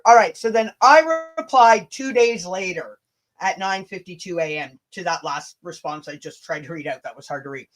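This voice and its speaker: A livestream host chatting casually and reading messages aloud, blunt and sweary, stumbling and rereading as they go. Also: medium pitch.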